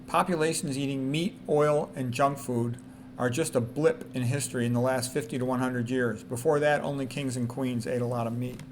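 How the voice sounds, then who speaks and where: A man's voice: mid-range voice, steady tone, normal speed. Man reading and commenting, noisy recording. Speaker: presenter. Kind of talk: reading quotes aloud with informal commentary. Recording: noticeable background noise.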